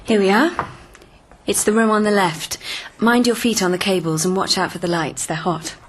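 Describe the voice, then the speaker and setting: An actress playing a young British television researcher in a radio drama, precise, matter-of-fact and inquisitive. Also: female voice, medium pitch, steady tone, moderate pace, lightly acted. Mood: businesslike. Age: young adult. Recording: clear background noise.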